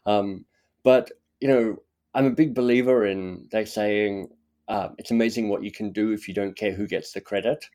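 A man hosting a podcast, talking in a relaxed, chatty, self-deprecating way. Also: fairly low pitch, normal speed. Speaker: podcast host. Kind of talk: casual conversation.

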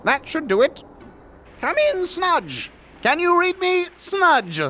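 An actor playing a pompous, oblivious mad scientist in an audio drama, speaking in theatrical, drawn-out phrases. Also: male voice, very high voice, big pitch swings, bright, forceful tone, slight background noise, exaggerated voice. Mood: blithely unbothered. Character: grandiose, oblivious mad scientist, male, giddy with his schemes.

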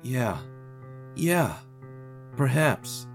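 A man's voice: mid-range voice, sing-song, drawn-out words, gravelly, faint music behind, pitched above the performer's own voice, full character voice. A man playing an annoyed, bland office worker in his twenties, exclaiming insistently in an audio drama. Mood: grudging. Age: 20s.

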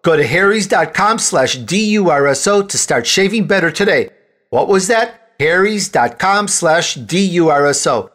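High-pitched male podcast host. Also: expressive, normal speed, fairly bright tone. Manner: chatty salesman delivery with rhetorical questions. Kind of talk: interview hosting and scripted ad reading.

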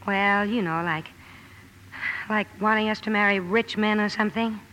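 Radio drama: headstrong young tomboy writer, female, emphatic and brusque, bright-toned, on a noisy vintage broadcast actress in role. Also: medium pitch, steady tone, normal speed, highs cut off, natural voice. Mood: eager, probing. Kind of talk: 1940s-50s radio drama acting.

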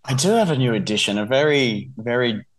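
Halting man chatting as podcast guest. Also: mid-range voice, expressive. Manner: halting, repeats words and restarts often. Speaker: guest musician. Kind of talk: casual podcast chat.

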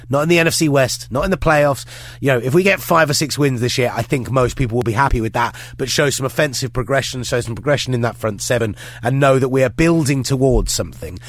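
A man's voice: mid-range voice, no echo. A man hosting a casual sports podcast, bunged up with a head cold and rambling through his points. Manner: rambling, with restarts and fillers. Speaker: podcast host.

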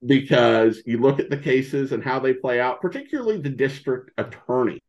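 Guy guesting on a podcast, kinda halting casual chat, mid pitch, muffly lofi sound. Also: expressive, a little mellow, muffled recording. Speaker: podcast guest, old-time radio podcaster. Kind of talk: casual interview talk.

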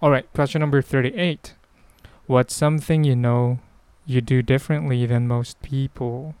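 A man's voice: medium pitch, fairly even intonation, moderate pace, a little mellow, clean tone, dry recording. A man with a Filipino accent hosting a casual solo podcast, answering questions in a hesitant, rambling way as he thinks aloud. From Philippines. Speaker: podcast host.

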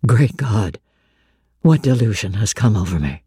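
A woman voicing a blind, brooding gentleman in a classic novel, commanding and impassioned. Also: very deep voice, very animated, measured pace, slightly rough, dry recording, clean studio sound, voice pushed down, full character voice. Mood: anguished. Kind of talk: dramatic audiobook reading of classic literature.

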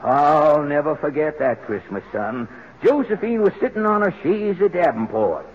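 A man's voice: fairly high pitch, animated, moderate pace. An actor playing a stubborn, put-upon old man in folksy, ungrammatical speech, in 1940s radio comedy style. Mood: aggrieved storytelling. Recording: muffled, noisy old radio broadcast.

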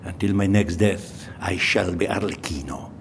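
An actor playing a mysterious masked Venetian mask-maker in an audio drama, hushed, terse and cryptic. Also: male voice, sits low, normal speed, creaky, a little hiss or hum. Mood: cryptic, guarded.

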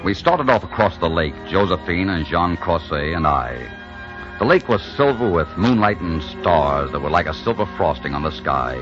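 A tough, ardent leading man tells his adventure in dramatic 1940s radio fashion. His low voice swings widely between murmured romance and narration, with music prominent on the muffled old recording. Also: lively intonation, moderate pace. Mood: dramatic narrating.

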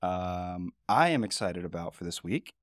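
Low-voiced man co-hosting a podcast. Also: moderate pace, dry recording, clean studio sound. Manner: relaxed, rambling, chatty with fillers. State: voice low and groggy in the morning. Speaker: podcast co-host. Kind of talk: casual chat.

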